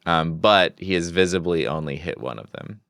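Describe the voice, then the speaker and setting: A man's voice: low voice, normal speed, vocal fry, fairly bright tone, clear, resonant tone, no room sound, studio quality. A man co-hosting a podcast, casually describing comic-book scenes panel by panel in conversation. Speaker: podcast co-host.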